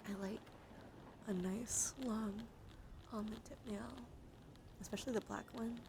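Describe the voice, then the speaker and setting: Female ASMR streamer: soothing, intimate, partly whispered, fry in the voice, meandering chat, noticeable noise on the recording. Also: mid-range voice, fairly even intonation, a little slow. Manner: soft-spoken, soothing, meandering with gentle repetition.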